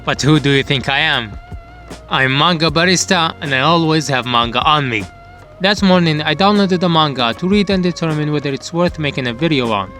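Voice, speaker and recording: Higher-voiced man narrating stories over music. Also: fairly quick, bright, forceful tone. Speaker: manga review channel narrator. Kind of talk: scripted storytelling narration.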